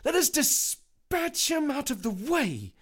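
A man's voice: very high voice, huge pitch swings, slow, fairly bright tone, pitched above the performer's own voice, full character voice. A man voicing spiteful, bloodthirsty jurymen in a dramatized allegory, exclaiming with sneering indignation. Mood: spiteful outrage. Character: spiteful, bloodthirsty male jurymen baying for execution.

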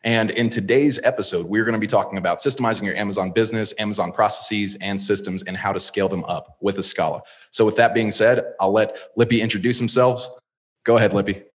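Upbeat low-voiced podcast host. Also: male voice, steady tone, fast talker. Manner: upbeat, casual, conversational. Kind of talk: podcast interview hosting.

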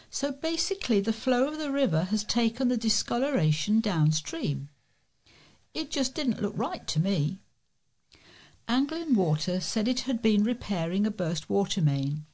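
British female volunteer newsreader, average pitch, reading local stories from a script. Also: a little mellow. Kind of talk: reading local news aloud.

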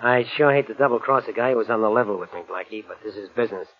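A man's voice: on the low side, racing, faint music behind, natural voice. An actor playing a cocky, sly, double-crossing American gangster in a 1940s radio crime drama, with slangy tough-guy talk. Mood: menacing. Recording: muffled old radio recording.

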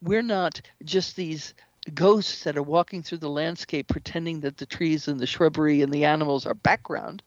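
A woman speaking as an interview guest, explaining spiritual ideas in an earnest, measured way. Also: low voice, darkish tone, dry recording, dull audio. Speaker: interview guest, shamanic practitioner and author.

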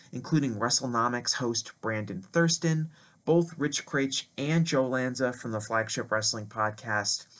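A man's voice: medium pitch, a bit bright. A man reading a scripted podcast promo in an earnest, polished way.

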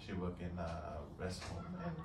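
A man from Malaysia, a podcast guest chatting casually in halting English that repeats words. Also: deep voice, sing-song. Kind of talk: casual chat. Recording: echoey room, distant mic, clear background noise.

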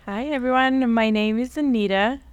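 A woman's voice: on the high side, normal speed, smooth, muffled recording. A woman in her thirties from Bulgaria, a guest telling her story in a casual interview and often acting out what people said. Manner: chatty, laughs often, acts out quoted people. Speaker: guest, lash technician.

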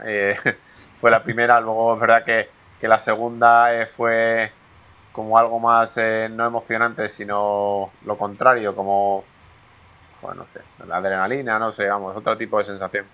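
A man from Spain speaks low and fairly flat, pausing often with fillers as he answers interview questions. His tone is bright and forward. He sounds like a golfer talking about his career. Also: lo-fi sound. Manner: hesitant, many fillers and restarts. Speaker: professional golfer guest.

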